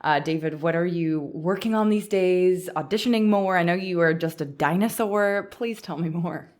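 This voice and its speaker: A woman's voice: lowish voice, normal speed, darkish tone. A woman co-hosting a podcast in a conversational interview style, chatty and thinking aloud. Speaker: podcast co-host.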